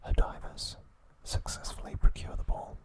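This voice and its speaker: A man narrating an episode recap as an ASMR host, speaking deliberately in short phrases with pauses.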